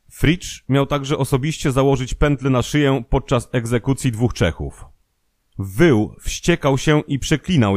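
A man's voice: medium pitch, darkish tone, no room sound. Dry-recorded male documentary narrator.

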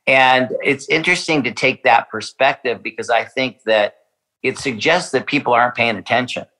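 Bright-voiced man, halting speech. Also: medium pitch, low-quality recording. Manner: hesitant, many fillers and restarts. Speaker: winery representative. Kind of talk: podcast interview.

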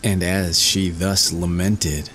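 A man's voice: low-pitched, little pitch movement, slow, a bit bright, slight background noise. A man reading a fairy tale as storyteller, in scripted narration.

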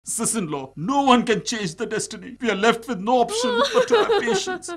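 Grave young male storybook hero for children's animation, deliberate, lively intonation. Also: very high-pitched, crowd noise. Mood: solemn. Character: Ali Baba, grave young man, grieving brother.

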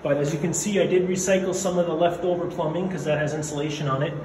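Man, raised pitch, rambling casual narration, echoey concrete basement, audible noise behind. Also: fairly even intonation, quick pace. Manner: rambling, matter-of-fact, many fillers. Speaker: DIY home renovator. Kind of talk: casual narration while filming. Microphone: phone camera held while walking.

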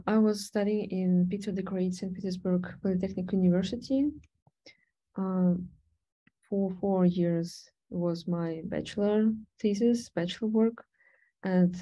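Soft-spoken young woman, hesitant presenter. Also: fairly low pitch, dull audio. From Latvia. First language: Russian. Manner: hesitant, many fillers and restarts. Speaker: PhD candidate presenter. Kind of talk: online research talk.

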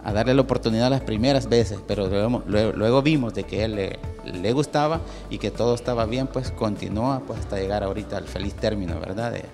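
A middle-aged man, a parent and teacher who speaks haltingly, with fillers and restarts, in an interview with a handheld mic. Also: fairly low pitch, darkish tone, faint music behind. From El Salvador. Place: university event hall, indoors.

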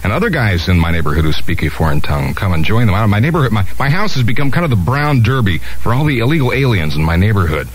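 Lively male 1970s radio DJ. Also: on the low side, fast talker, few pauses, low-quality recording. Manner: wry, joking asides. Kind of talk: 1970s Top 40 radio DJ patter. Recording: vintage AM radio aircheck with music. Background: loud music.

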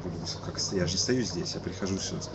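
A tired young man with a somewhat low voice talks haltingly, often breaking off and restarting. He is recorded outdoors on a dull, lo-fi stream with loud street ambience all around him. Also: very noisy. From Kyiv, Ukraine. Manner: hesitant, halting, many restarts. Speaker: interviewee, musician. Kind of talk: casual live-stream interview. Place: outdoors at a street protest camp.

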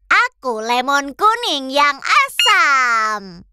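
A woman's voice: high-pitched, sing-song, extremely slow, bright, forceful tone, dry recording, clean studio sound, exaggerated voice. A woman voicing a cute, sweet little creature in a children's cartoon, childlike and singsong. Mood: sweet, cheerful. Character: cute sweet little creature, baby shark or talking fruit.

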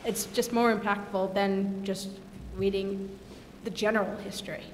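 A young woman speaking earnestly and haltingly in a short clip. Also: medium pitch, level tone. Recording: roomy echo with faint noise.

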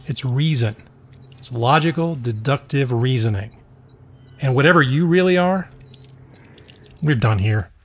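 A man playing an earnest, rational scientist in an audio drama, speaking in a deliberate, emphatic way. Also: medium pitch, animated. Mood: defiant, reasoning.

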